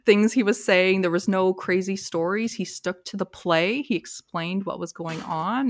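A woman co-hosting a casual hockey podcast, talking from a fan's view, laughing often and getting excited about plays. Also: lowish voice. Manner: enthusiastic, laughs often, fan perspective. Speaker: podcast co-host. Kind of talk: casual sports podcast chat.

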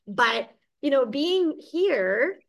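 A woman's voice: high voice, sing-song, extremely slow, vocal fry. Hungarian-born woman telling her story. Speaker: business owner guest. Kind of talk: casual interview. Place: indoor garden business.

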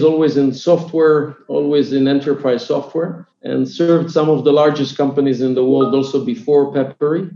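An Israeli man in his fifties speaks with a gravelly, mellow voice that sits a little high for a man. He talks haltingly and leans on many fillers. His audio sounds dull and echoey, like a remote call in a bare room. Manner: hesitant, many fillers and restarts. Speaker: guest, company CEO. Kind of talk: business podcast interview.

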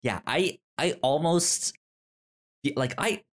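A man's voice: on the high side, animated, slow, a bit bright. A man co-hosting a podcast, chatting casually about a film, who often stammers and restarts his words. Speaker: podcast co-host. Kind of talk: casual chat.

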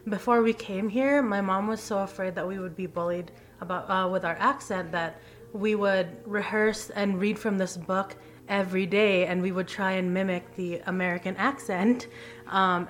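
Candid young woman, podcast guest. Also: medium pitch. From born in Philippines, raised in Canada. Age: young adult. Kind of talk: casual chat, anecdote.

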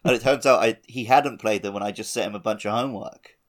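A man's voice: on the low side, fast talker. A man hosting a casual gaming podcast, chatting informally with others. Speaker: podcast host.

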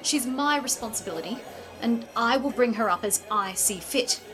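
A woman's voice: on the high side, lively intonation, moderate pace, music in the background, natural voice, poor audio. A woman playing a strict, practical mother and store executive in a radio play, speaking in a firm, proper way. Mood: stern, insistent. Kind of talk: old-time radio play acting.

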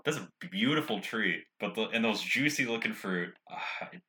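A man's voice: on the low side, animated, moderate pace, pushed and bright. A man casually describing his character's moves as a player in a tabletop role-playing game. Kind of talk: casual role-play chat.

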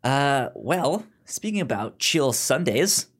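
Halting podcast co-host with lively pitch. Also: husky. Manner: halting, repeats words and restarts often. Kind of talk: casual film discussion podcast.

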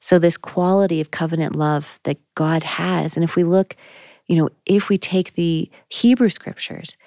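Low-pitched woman co-host, reflective and searching, dry studio sound. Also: moderate pace, mellow, dry recording. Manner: thoughtful, searching, with frequent ums. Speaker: podcast co-host. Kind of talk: reflective faith conversation.

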